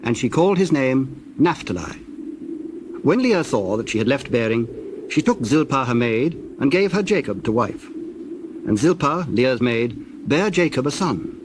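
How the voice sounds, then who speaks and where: A man with a medium-pitched voice narrates scripture. His delivery is formal and measured, like scripted storytelling. Birdsong and cooing doves run clearly underneath. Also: big pitch swings, background noise.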